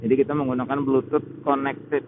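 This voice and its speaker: An Indonesian man talks casually as a motovlogger, pausing and searching for words. His voice is medium in pitch and comes through a thin, phone-like helmet mic. Clear road and vehicle noise runs behind him. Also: level tone. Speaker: motovlogger reviewing gear. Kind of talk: unscripted spoken review while riding. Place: outdoors on a motorcycle in traffic. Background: loud vehicle and road noise.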